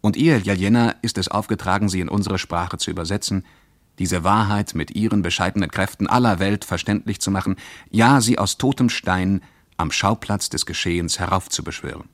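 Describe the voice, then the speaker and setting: A man's voice: sits low, brisk, dry recording. An actor reading a diary as a pensive, sceptical male traveller in a radio play, in a solemn literary style. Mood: solemn, ironic.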